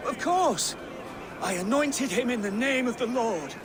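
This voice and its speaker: A man's voice: very high-pitched, animated, a little slow, crowd noise. An actor playing a frightened, devout Saxon man in a game cutscene, agitated, defensive and pleading. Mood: fervent, pleading. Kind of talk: video game cutscene acting.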